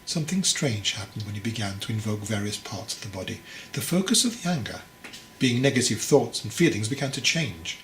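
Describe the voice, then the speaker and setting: A man reading his own book as a measured, expressive storybook narration. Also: fairly low pitch. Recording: roomy echo, faint background noise.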